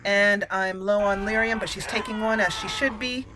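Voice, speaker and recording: A woman's voice: mid-range voice, steady tone, moderate pace, background noise. A woman giving casual, chatty video game commentary, talking through each step as she plays. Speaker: video game let's play host.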